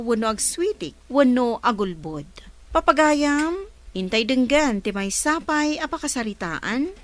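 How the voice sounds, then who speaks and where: A woman's voice: fairly high pitch, a bit bright. A woman with an Ilocano Filipino accent reading a scripted program introduction as host.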